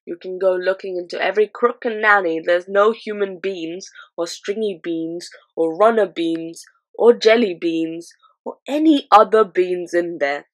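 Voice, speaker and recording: A woman voicing a kind, gentle male giant who speaks politely with muddled grammar and jumbled words. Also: fairly low pitch, measured pace. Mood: calm.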